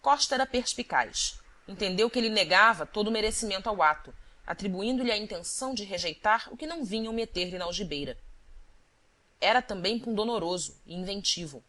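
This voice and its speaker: A woman's voice: medium pitch, animated, a little fast, bright, forceful tone. Woman narrating a literary audiobook.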